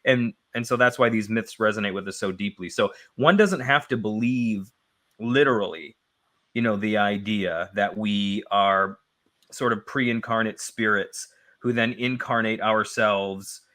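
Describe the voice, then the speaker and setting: A man with a somewhat low voice co-hosts a reflective spiritual discussion. His voice is a little scratchy and sniffly. He works through ideas by repeating key phrases. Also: measured pace, sharp tone. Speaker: co-host.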